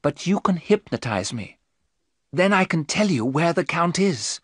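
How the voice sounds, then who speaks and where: Man voicing a spellbound young woman, earnest and resolute, slightly raised pitch, lively intonation, dry sound. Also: a little slow, slightly rough, no room sound, exaggerated voice. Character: young woman under a vampire's spell, brave.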